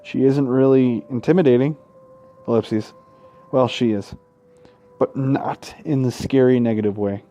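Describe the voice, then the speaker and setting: A man's voice: mid-range voice, a little slow, pauses often, warm tone. A man reading game narration aloud in a let's play, irreverent and sweary, often tripping over the words. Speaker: gaming YouTuber.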